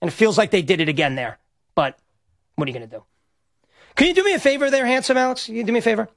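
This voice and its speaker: Male radio sports host, high-pitched and a little hoarse, rambles and stumbles with nervous excitement, dry studio sound. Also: rapid-fire, dry recording. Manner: excitable, rambling, stammers and repeats himself. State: slightly hoarse. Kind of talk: live game reaction talk.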